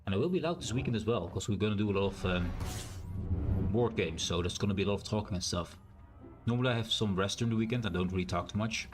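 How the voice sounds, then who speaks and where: Loud-voiced man streaming a game. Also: lowish voice, fast talker, music in the background. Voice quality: naturally loud voice. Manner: rambling, thinks aloud with fillers and self-corrections. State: sore, strained throat. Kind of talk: casual live-stream gameplay commentary.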